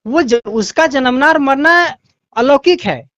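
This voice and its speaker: A man's voice: extremely high pitch, expressive, slow pace, fairly bright tone, no echo. A man explaining his view insistently in a religious debate on a phone call, repeating phrases to press his point. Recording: dull lo-fi call audio.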